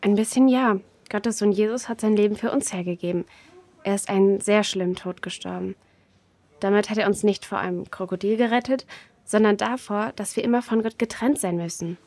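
Patient, gentle female mentor for a children's radio play, mid-range voice actress. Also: medium pitch, steady tone, brisk, dry recording, natural voice. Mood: gentle, serious. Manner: careful, explanatory. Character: gentle, patient woman comforting and explaining to children.

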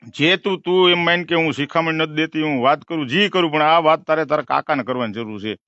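A man's voice: fairly high pitch, a little fast, pushed and bright, dry recording. A man with a rural Saurashtra Gujarati accent in a heated phone argument, insistent and repetitive, talking over the other person on a muffled call recording. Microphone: telephone.

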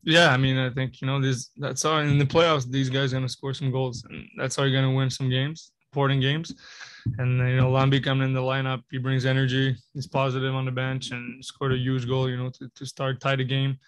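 A young man answers reporters after a game, speaking into a table mic with his phrases broken up by "you know" and "I mean". His pitch barely moves, staying level and even throughout. The sound is dry and close, with no room echo. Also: fairly quick. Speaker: hockey player. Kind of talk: postgame press conference answers. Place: press conference room. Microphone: table mic in front of him.

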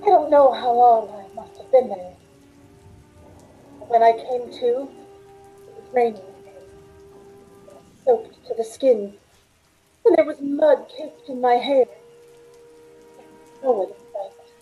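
A middle-aged woman playing a shaken waitress who recounts a terrifying ordeal in dramatic, halting radio-play narration. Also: fairly high pitch, lo-fi sound, soft background music. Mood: shaken, dazed. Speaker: lead actress in a radio play. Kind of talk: dramatic first-person radio acting. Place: room at home, on a video call.

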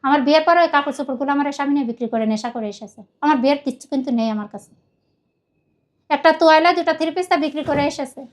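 A woman's voice: high-pitched, racing. A middle-aged woman with a Bangladeshi accent giving an emotional personal account, earnest and rambling, often repeating herself. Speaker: guest telling their life story.

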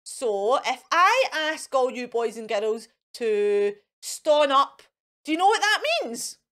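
A Scottish woman plays fairy host for young children. Her voice sits high and swoops widely, at a slow, deliberate pace. She coaxes with questions and praises in an exaggerated sing-song way. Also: very animated, fairly bright tone. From Scotland. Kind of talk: playful children's presenting to camera. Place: indoors in front of a fairy backdrop.

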